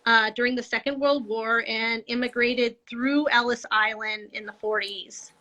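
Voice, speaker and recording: A middle-aged woman with a bright, slightly high voice talks casually over a video call. She is animated and laughs easily, and her speech comes through a handheld mic. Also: normal speed, highs cut off. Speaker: guest artist.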